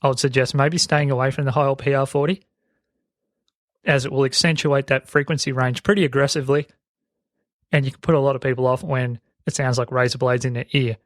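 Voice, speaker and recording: A man's voice: mid-range voice, level tone, brisk, no room sound. An Australian man with very sibilant speech, explaining things as a podcast host into a close broadcast microphone. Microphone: close broadcast dynamic microphone.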